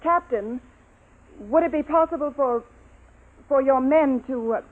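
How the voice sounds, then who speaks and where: Very high-voiced commander, vintage radio. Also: female voice, measured pace, narrow, tinny audio. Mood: commanding. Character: female space commander, decisive, tense, authoritative. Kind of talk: 1950s radio drama acting. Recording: thin, hissy old radio recording.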